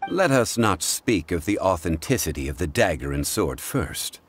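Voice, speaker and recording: A calm, self-assured game gentleman with a low voice and lively intonation. He is courteous and erudite, choosing formal words with care. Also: soft background music. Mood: calmly reasoning. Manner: measured, formal, polite. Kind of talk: video game character acting.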